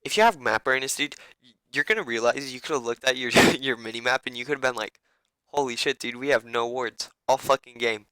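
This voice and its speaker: Young man, raspy and bright-toned, casual profane game coach, dry close mic. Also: medium pitch, a little fast, dry recording, poor audio. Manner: loose, profane, rambling.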